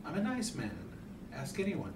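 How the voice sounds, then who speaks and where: A man's voice: high-pitched, big pitch swings, normal speed. A man playing a creepy, outwardly kindly dentist with unctuous, condescending persuasion in an audio story, recorded in a hotel room. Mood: coaxing. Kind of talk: hobby audio story reading. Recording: roomy hotel room, distant, noisy hum.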